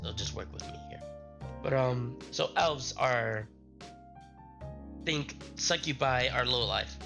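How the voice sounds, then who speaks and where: A man's voice: mid-range voice, vocal fry, pushed and bright, faint music behind. Sick man rambling on a stream. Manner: rambling, halting with restarts. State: sick, voice strained. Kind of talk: casual live-stream chat.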